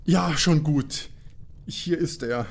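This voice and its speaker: A man playing an excitable, credulous young sidekick detective in a parody audio drama. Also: on the high side, takes it slowly, heavily acted. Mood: resigned. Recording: clearly noisy, with ambience.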